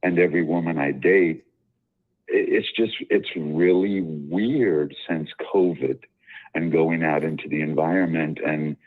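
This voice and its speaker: Deep-voiced male caller, husky and confident, heard over a thin phone line. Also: a little slow. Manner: articulate, self-assured, rambling with fillers. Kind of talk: casual call-in chat.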